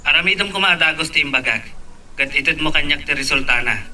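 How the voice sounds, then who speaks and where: Sharp, commanding businessman acted by a man. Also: a little high, fast, distant mic. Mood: businesslike, commanding. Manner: curt, authoritative. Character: brisk, commanding businessman giving orders to staff. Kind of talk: radio drama acting.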